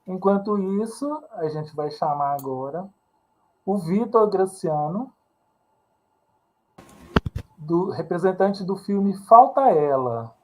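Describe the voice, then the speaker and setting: A man's voice: high-pitched, lively intonation, takes it slowly, pauses often, a little hiss or hum, low-quality recording. A man moderating a film festival debate, welcoming guests with friendly questions. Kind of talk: online panel moderation.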